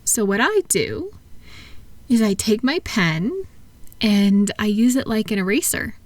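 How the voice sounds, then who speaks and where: A woman playing a kind, unhurried attendant at a calming clinic, soft-spoken and reassuring in a relaxation roleplay. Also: mid-range voice, no room sound. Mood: soothing, encouraging.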